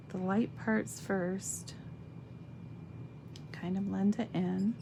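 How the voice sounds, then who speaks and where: A woman with a slightly raspy voice giving a chatty live craft demonstration, thinking aloud as she stamps and colors. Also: mid-range voice, darkish tone, a little hiss or hum. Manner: slow, chatty, thinks aloud while working.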